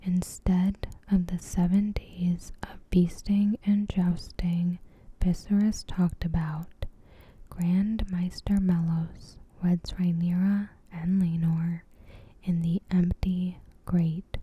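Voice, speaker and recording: A woman doing ASMR in a hushed, breathy whisper, gently and unhurriedly reading a recap of a story. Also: fairly low pitch, level tone, takes it slowly, slight background noise. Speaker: ASMR creator and host. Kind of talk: soft-spoken ASMR, reading a TV episode recap.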